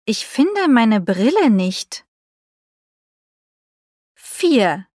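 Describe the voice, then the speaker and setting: A woman speaking short scripted lines for a language listening test, pronouncing each word carefully and deliberately. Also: fairly high pitch, big pitch swings, darkish tone, dry recording, studio quality. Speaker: speaker in exam recordings.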